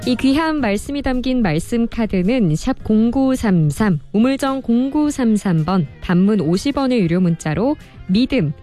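A woman hosting a radio music show, reading listener messages in a polite, expressive presenter style. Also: on the high side, normal speed, few pauses. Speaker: radio host and producer. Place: radio studio.

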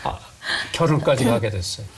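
A man's voice: medium pitch, expressive, brisk, background noise. An older man hosting a conversational interview, asking blunt questions in an informal, familiar tone. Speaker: host.

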